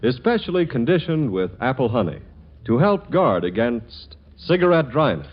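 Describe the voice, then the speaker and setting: Male vintage commercial announcer, mid-range voice with animated intonation, scripted 1940s radio read, dull sound. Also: mid-range voice, normal speed. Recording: muffled, faintly noisy 1940s radio recording.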